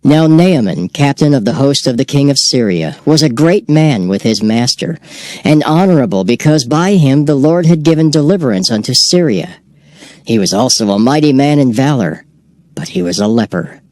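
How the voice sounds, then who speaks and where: A man narrating scripture in a measured, solemn dramatized reading. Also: medium pitch, animated, a little mellow, no echo, studio quality. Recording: music bed behind voice. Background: music.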